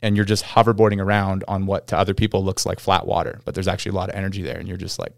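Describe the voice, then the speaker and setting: Podcast guest: Canadian man, lowish clean voice, informal enthusiastic explainer, dry recording. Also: flat delivery, rapid-fire, vocal fry, dry recording. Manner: surfer slang, informal. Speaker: podcast guest, pro snowboarder. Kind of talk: casual chat, enthusiastic explaining.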